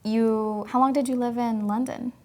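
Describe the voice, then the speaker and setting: A woman's voice: a little high, fairly even intonation, normal speed, warm tone. An American woman from Kentucky, whose first language was Spanish, hosting a podcast in a casual interview style. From Kentucky, United States. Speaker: podcast host.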